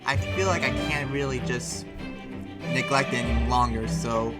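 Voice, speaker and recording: Man acting as male demon slayer researcher, earnest, conflicted, determined loner, hesitant rambling delivery, anime game roleplay, mid-pitched. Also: steady tone, unhurried, over loud music, natural voice. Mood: pensive, resolved. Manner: hesitant, rambling, thinking aloud.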